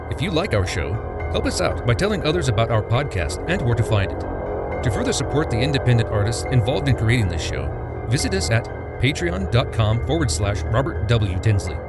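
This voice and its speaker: A man's voice: medium pitch, sing-song, a little fast. A man reading a scripted podcast outro and credits as the show's announcer. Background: loud music.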